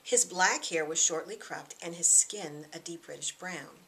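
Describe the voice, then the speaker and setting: A middle-aged woman reading her own novel aloud in expressive scripted narration. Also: lowish voice, unhurried.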